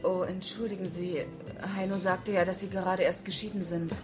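A woman's voice: on the low side, little pitch movement, moderate pace, over music, natural voice. An actress playing a flirtatious, bored wife who cheats on her dull husband, teasing and coquettish in a radio crime drama.

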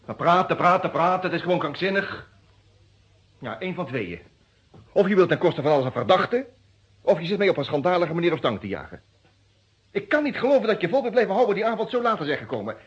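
An actor playing an agitated, indignant lawyer suspected of murder in a vintage radio play, husky and emphatic, with a Netherlands Dutch accent. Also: male voice, sits high, fast talker, exaggerated voice. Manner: excitable, emphatic, repeats words. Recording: dull, muffled old radio recording.

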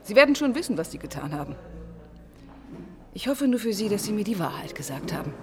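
A woman's voice: mid-range voice, very animated, brisk, natural voice. Actress as tough, sardonic woman detective. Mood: stern. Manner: insistent, dry, sarcastic. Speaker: lead actress and narrator in a crime radio play. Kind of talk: German radio drama acting, first-person narration.

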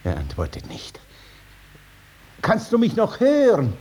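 Desperate, high-voiced pleading farmer. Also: male voice, wildly swooping pitch, a little mellow, slightly rough, full character voice. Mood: despairing panic. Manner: imploring, halting, calling out. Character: desperate, pleading male farmer losing his grip. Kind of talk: 1950s German radio drama acting. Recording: noisy 1950s radio recording.

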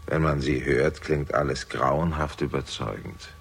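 An older patriarch of a crumbling house in a radio thriller. The voice is extremely low, creaky and husky, and he speaks in measured, formal phrases with quiet menace. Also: heavily acted. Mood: guarded, controlled. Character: older father, composed, menacing guardian of a decaying house. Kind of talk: German radio crime drama acting.

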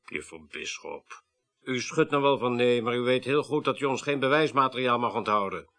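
An actor playing a firm police detective in a radio play, formal, measured and slyly insistent. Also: male voice, mid-range voice, animated, fairly bright tone. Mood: slyly threatening. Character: firm, politely pressing male police detective. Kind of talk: 1980s Dutch radio play acting.

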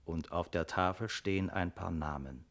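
An actor playing a sober, reflective narrator who tells a literary radio tale in a deliberate, thoughtful manner. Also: male voice, deep voice, gravelly, fairly bright tone. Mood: grave, musing.

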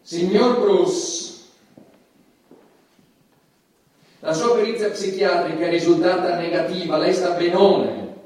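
Stern judge played by a man, indignant and theatrical; very high-pitched delivery, far from the mic in a reverberant space. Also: steady tone, moderate pace, darkish tone, natural voice. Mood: stern, accusing. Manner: formal, moralizing, emphatic. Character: indignant, stern male judge condemning an obscene comedian. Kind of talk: theatrical stage monologue. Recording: echoey hall, distant mic, music behind.

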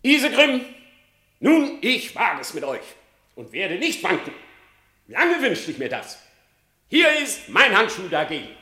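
An actor playing a bold, solemn animal challenger in a classic radio play, declaiming verse with strong emphasis. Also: male voice, sits high, wildly swooping pitch, a little slow, pauses often, pushed and bright, heavily acted. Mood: defiant. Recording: roomy, echoing sound.

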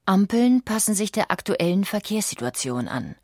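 A woman with a standard German accent reading scripted documentary audiobook narration, factual and measured. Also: medium pitch, very animated, slightly rough, dry recording, studio quality.

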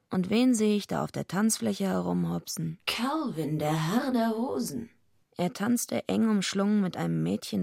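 A woman's voice: on the low side, vocal fry, a little mellow, heavily acted. An actress playing a naive but tough young female wrestler in a radio drama, plain-spoken and slangy as she tells and acts out her story. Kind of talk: radio drama acting and first-person narration.

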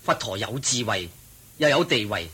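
An obliging male servant with a Hong Kong Cantonese accent and a husky, mid-range voice. He explains things helpfully, with a pitch that moves up and down freely. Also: animated, normal speed, pushed and bright, heavily acted. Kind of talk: radio drama acting.

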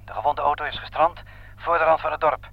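An actor playing a military ambulance driver at the front, reporting urgently in clipped phrases in a radio play. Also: male voice, on the high side, fairly even intonation, fast talker, gravelly, no room sound. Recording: dull, dry old radio recording.

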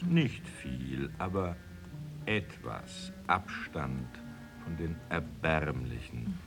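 A man's voice: extremely low pitch, exaggerated voice. A man playing an embittered, misanthropic, half-mad poet in a radio drama, declaiming with theatrical contempt.

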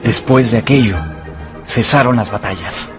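A man's voice: medium pitch, moderate pace, over music, lightly acted. A man narrating a grim adventure story as a dramatic, scripted radio play. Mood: grave.

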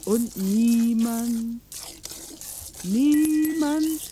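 An actress playing an ancient, forlorn woman in a radio drama, brooding in halting, drawn-out phrases. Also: a little high, mellow, a little hiss or hum.

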